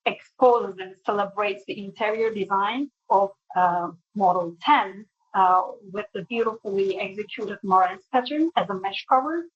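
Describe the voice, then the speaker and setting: A woman in her thirties presenting product design in a webinar, speaking in a halting but emphatic way. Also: medium pitch. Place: indoor room on a video call. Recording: dull, muffled video-call audio.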